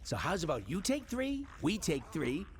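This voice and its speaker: A man's voice: on the high side, wildly swooping pitch, normal speed. A man voicing a cocky, wisecracking male space adventurer with a breezy, joking delivery.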